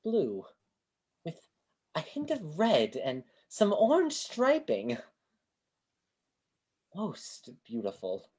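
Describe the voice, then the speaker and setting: A woman's voice: on the low side, wildly swooping pitch, drawn-out words, long pauses, darkish tone, breathy edge, full character voice. A woman playing a grandiose, kindly street fortune-teller with florid delivery in a staged play reading over a video call. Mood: mystical, boastful. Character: Great Banzini, grandiose theatrical male street fortune-teller, kindly mystic.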